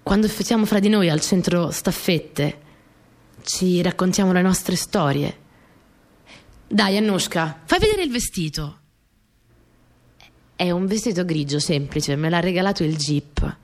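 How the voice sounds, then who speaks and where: An actress playing a spirited young wartime partisan courier girl in an expressive radio theatre monologue. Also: lowish voice, measured pace, pauses often. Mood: reflective, then fond, confiding. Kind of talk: dramatic first-person monologue for radio theatre.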